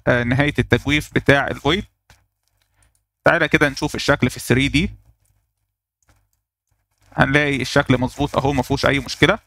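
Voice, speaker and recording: A man with an Egyptian Arabic accent walking through a software tutorial step by step, pausing on fillers as he works. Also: a little high, no room sound, clean studio sound. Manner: explains step by step, thinking aloud with fillers. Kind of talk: screen-recorded software tutorial.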